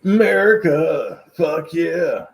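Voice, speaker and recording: A man's voice: a little high, lively intonation, takes it slowly, a crowd in the background. A man hosting an early-morning casual stream chat, joking and blunt. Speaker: streamer host.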